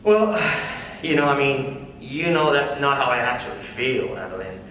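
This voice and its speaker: A man's voice: medium pitch, animated, normal speed, fairly bright tone, lightly acted. A young man, a student actor in a staged script reading, playing a cocky, flippant stand-up comedian with a casual, conversational delivery. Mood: defensive. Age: young adult. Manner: casual, conversational with rhetorical tag questions. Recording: echoey hall from far off, faint noise.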